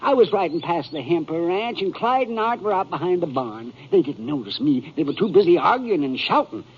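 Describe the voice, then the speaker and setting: An excitable, folksy American cowhand in an old radio Western. His pitch is high and leaps about emphatically. The 1950s recording sounds dull and muffled. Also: a little fast, slightly rough. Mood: indignant, insistent. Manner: emphatic, drawling folksy talk. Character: excitable, folksy Western posse man and eyewitness.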